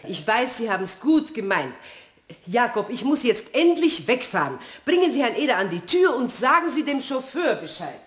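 A female actor in a radio play as a gracious, composed, gently sceptical countess with polite manners. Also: fairly high pitch, sing-song, moderate pace. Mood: amused, firm. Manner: polite, refined, gently condescending. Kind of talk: German radio play acting.

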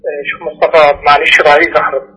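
A man's voice: a little high, monotone, racing, sharp tone, husky, rough recording. A man with an Egyptian accent calling a religious advice show, putting his questions spontaneously and deferentially. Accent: Egyptian Arabic.

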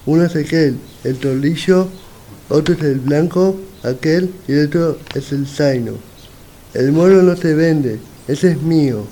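A man's voice: on the high side, a little slow, mellow, lightly acted, poor audio. A man playing a frank, kindly village blacksmith who sells horses, speaking plainly in an amateur radio play. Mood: frank, friendly. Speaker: amateur radio theatre actor. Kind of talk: radio theatre acting.

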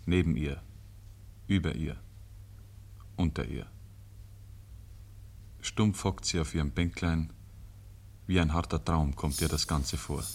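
Deep-voiced, grave storyteller. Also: male voice, vocal fry, full character voice. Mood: solemn. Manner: measured, solemn, literary. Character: grave, ironic male storyteller. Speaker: narrator. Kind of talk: scripted narration, 1950s radio drama.

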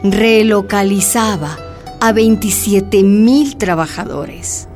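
A woman's voice: mid-range voice, expressive, takes it slowly, darkish tone, soft background music. A woman reading scripted narration for a radio drama as its storyteller.

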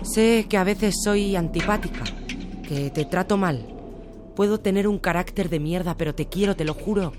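An actress playing an anguished, evasive, clinging wife in a radio drama, imploring insistently. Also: female voice, lowish voice, normal speed, darkish tone, slight background noise, lightly acted. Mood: pleading, placating. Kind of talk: Spanish radio drama acting.

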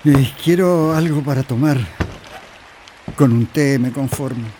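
Man acting gruff weary Chilean husband, noisy recording. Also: mid-range voice, unhurried, dark tone, natural voice. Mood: tired, subdued. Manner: curt, grumbling. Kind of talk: radio drama acting. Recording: clearly noisy radio play recording.

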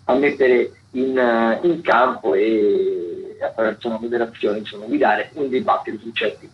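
A man's voice: fairly low pitch, moderate pace. A man hosting a radio arts programme, talking through theatre listings in a semi-improvised way, often searching for words and repeating himself. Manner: hesitant, searching for words with repetitions. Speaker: radio host presenting a theatre events roundup. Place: radio studio. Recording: dull lo-fi radio sound, faint noise.

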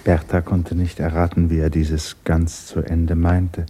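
A low-pitched male narrator with a dark, mellow tone. He tells a literary radio drama calmly, over faint background noise. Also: a little slow.

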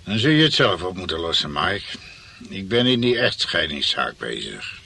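An actor playing a dry, probing detective colleague in a radio play, needling and resentful underneath. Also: male voice, deep voice, sing-song, normal speed, vocal fry, sharp tone, breathy edge, heavily acted. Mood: brisk, evasive. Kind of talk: Dutch radio play acting.

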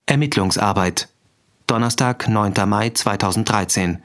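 A man's voice: on the low side, normal speed, fairly bright tone, close to an everyday voice. A man announcing scene headings in a radio drama, in a terse, scripted style like case-file entries. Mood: neutral. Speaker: announcer of chapters, dates and places.

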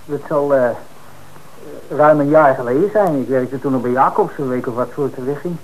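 A man's voice: medium pitch, moderate pace, natural voice. An actor playing a defensive working-class suspect in a Dutch radio drama, speaking colloquially as he protests his innocence. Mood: hesitant, guarded. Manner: colloquial, hesitant, then indignant. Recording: noisy old radio broadcast recording.

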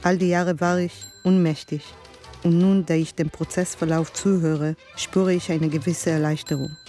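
A woman's voice: lowish voice, fairly even intonation, normal speed, mellow, faint music behind, natural voice. An actress playing a sombre woman survivor giving grave, restrained testimony in a radio drama. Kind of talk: scripted radio drama monologue.

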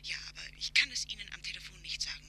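An actress playing an anxious headmistress who calls in a 1950s radio drama, speaking over the telephone in a hushed and urgent way. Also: female voice, mid-range voice, animated, racing, whispered. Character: urgent female boarding school head on the phone.

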